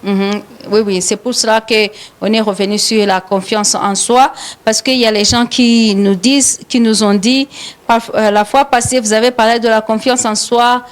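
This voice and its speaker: A Rwandan woman hosts a live radio talk show in French with a Rwandan accent. Her voice sits somewhat high, and she circles her words with frequent hesitations and repeats. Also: steady tone, moderate pace.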